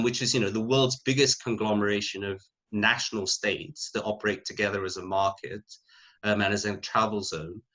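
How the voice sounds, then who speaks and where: A middle-aged man from the UK with a British accent, speaking unscripted as an academic panelist in a reflective, halting way, thinking aloud. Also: fairly low pitch, a bit bright, low-quality recording. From United Kingdom.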